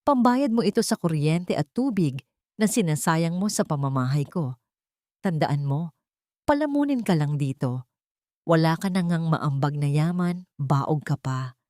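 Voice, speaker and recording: A woman's voice: lowish voice, mellow, no room sound, clean studio sound. A woman voicing a cruel, haughty older mother-in-law in an audio drama, berating and contemptuous.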